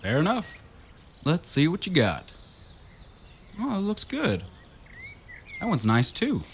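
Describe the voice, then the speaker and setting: An actor playing a curious, wisecracking husband in a radio drama, with a wry and casual manner. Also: male voice, on the high side, huge pitch swings, slow pace, gravelly, a little hiss or hum, full character voice. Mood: joking. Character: wisecracking, curious husband exploring an old mansion.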